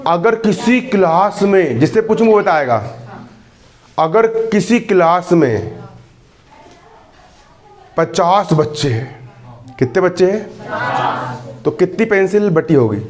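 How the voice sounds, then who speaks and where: A man's voice: high voice, animated, takes it slowly, long pauses, darkish tone. A man in his early twenties teaching a maths class. He keeps repeating questions to the students and teases and scolds them. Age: 20s. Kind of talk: classroom lesson. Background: students in the room.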